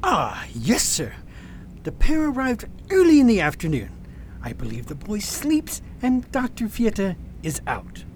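A woman's voice: mid-range voice, wildly swooping pitch, measured pace, a little mellow, a little hiss or hum. A woman playing an obliging hotel concierge. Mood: helpful. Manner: obliging, businesslike. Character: helpful hotel concierge in 1890s Naples.

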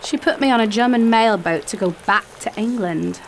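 A woman's voice: fairly high pitch, moderate pace, slight background noise, natural voice. A woman playing a timid, distressed young lady in radio theatre, speaking earnestly and politely. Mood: anxious, distressed.

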